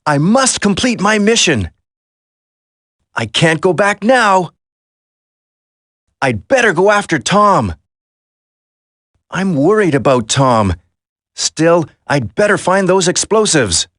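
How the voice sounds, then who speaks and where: Game hero: determined young agent, dramatic, lively intonation, unhurried delivery, close dry sound. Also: male voice, high voice, no room sound, full character voice. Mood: focused, worried. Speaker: voice actor in a video game. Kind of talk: video game dialogue acting.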